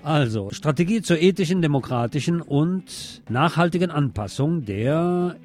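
Male radio host, mid-pitched, news commentary and song announcements, wry delivery, music underneath. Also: animated, moderate pace, a little mellow.

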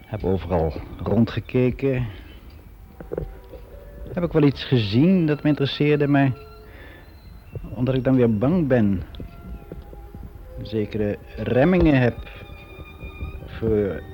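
An actor playing a lonely, timid, regretful office employee of sixty in a radio monologue, speaking in a halting, confiding, colloquial way. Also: male voice, medium pitch, expressive, soft background music. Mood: hesitant, rueful. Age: 60s. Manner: halting, confiding, colloquial, with hesitant self-corrections. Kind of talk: conversational radio drama monologue. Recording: dull, lo-fi old radio recording.

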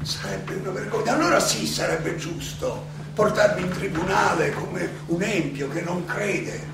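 An actor playing a defiant philosopher on trial in a theatrical monologue, declamatory and halting, with rhetorical questions, in an echoey, noisy recording. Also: male voice, high-pitched. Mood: earnest, indignant. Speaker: stage actor and director. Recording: echoey hall, distant mic, clearly noisy.

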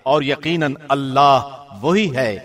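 A man reads a scripture translation from a script like a radio presenter, formal and measured, with clear phrasing. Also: mid-range voice, fairly bright tone.

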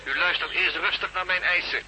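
Suave taunting villain on a phone line, radio drama, higher voice, bit of hiss. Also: male voice, steady tone, brisk, bright, forceful tone. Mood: smug, controlling. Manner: smug, coolly polite, taunting. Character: suave, mocking male kidnapper villain on the phone.

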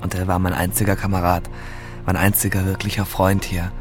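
A man's voice: low-pitched, flat delivery, brisk, faint music behind, natural voice. An actor playing a grieving seventeen-year-old boy fleeing war, telling his story in a subdued, reflective radio drama monologue. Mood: wistful, sad.

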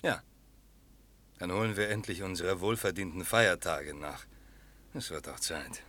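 A deep, brusque army major. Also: male voice, unhurried, bright, forceful tone, heavily acted. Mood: condescending. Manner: brusque, condescending. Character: cynical, greedy army major, gruffly jovial. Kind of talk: German radio play acting.

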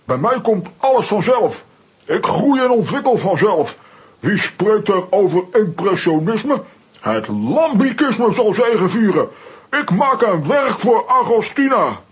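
High-pitched pompous lovesick comic fool. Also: male voice, sing-song, a little slow, husky, exaggerated voice. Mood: boastful. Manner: pompous, theatrical. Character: boastful, lovesick, foolish would-be painter, comic-strip hero. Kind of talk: children's audio drama acting. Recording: dull recording with loud music behind.

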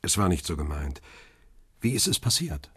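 A middle-aged crime-drama narrator with a very low, heavily husky voice. He is reflective and restrained, telling his own story as if thinking it over. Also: big pitch swings, moderate pace, a bit bright, no room sound, clean studio sound, full character voice. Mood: probing. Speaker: narrator and lead character. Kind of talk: radio drama narration and dialogue.

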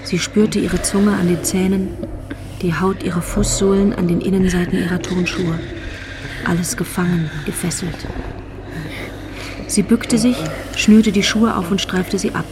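A woman's voice: fairly low pitch, steady tone, a little slow, background noise. A woman giving scripted literary narration as the narrator of a radio drama. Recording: music bed under the voice. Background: music.

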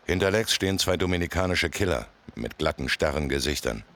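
A man's voice: extremely low pitch, animated, a little fast, a bit bright. An actor playing a tough, laconic gangster recounting his rise in a German radio play. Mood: grim, detached. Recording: music behind studio radio play.

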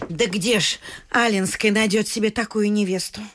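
An actress playing a bustling, excitable middle-aged provincial wife and mother, gushing and bossy in theatrical radio vaudeville style. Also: medium pitch, normal speed, natural voice. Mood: insistent.

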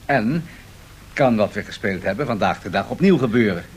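A man's voice: lively intonation, normal speed, lightly acted. A man posing questions in a polite, orderly way in a radio play discussion. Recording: 1970s radio recording with faint rumbling noise.

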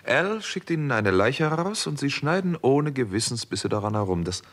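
An actor playing a hard-boiled, sardonic doctor turned investigator in a 1960s German radio crime drama, with dry, terse delivery. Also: male voice, mid-range voice, big pitch swings, normal speed. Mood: sceptical. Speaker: first-person narrator and protagonist. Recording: noisy old radio recording with clicks.

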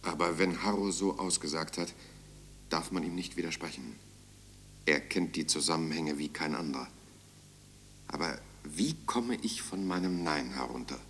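An actor playing a weary, defiant political prisoner in a German radio drama, speaking in a grave, measured, inward way. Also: male voice, a bass voice, a bit bright, slight background noise. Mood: inward, tense. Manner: grave, measured, inward, sometimes dropping to a murmur. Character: weary, defiant male political prisoner recalling his interrogation. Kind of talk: 1970s German radio drama, inner monologue and dialogue.

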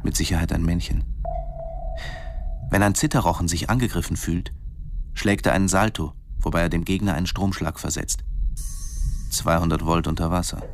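A man's voice: sits low, fairly even intonation, normal speed, gravelly, pauses often, faint music behind. A man playing a wry, defensive diving instructor who narrates his story laconically in a radio drama. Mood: matter-of-fact, tense.

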